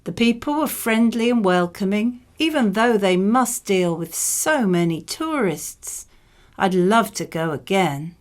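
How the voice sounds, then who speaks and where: A woman, a native English speaker, reading model speaking-exam answers in a conversational, measured and explanatory way. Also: medium pitch, lively intonation.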